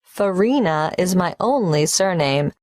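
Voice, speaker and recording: A polite young applicant who answers a clerk's questions and carefully spells out names and numbers. She talks slowly, and the recording is dry and close. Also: lowish voice, expressive, a little mellow, no echo. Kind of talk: scripted exam listening dialogue.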